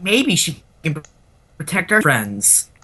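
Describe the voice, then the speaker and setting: A woman's voice: deep voice, very animated, takes it slowly, sharp tone, slightly rough, heavily acted. A woman voicing teenage heroes in an amateur adventure story, in a theatrical, exclamatory way. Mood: earnest.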